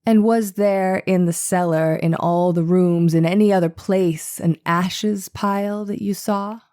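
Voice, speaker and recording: A woman voicing a methodical 1890s male defense attorney in formal, deliberate cross-examination, in a dramatized trial reading. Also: on the low side, steady tone, mellow, smooth, lightly acted. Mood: probing, formal. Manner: formal, deliberate, probing questioning. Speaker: voice actor reading a lawyer's part.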